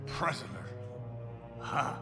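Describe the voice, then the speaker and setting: An actor playing a blunt, gruff dwarf explorer in a fantasy game cinematic, dramatic and foreboding over music. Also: male voice, takes it slowly, close to an everyday voice. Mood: ominous warning.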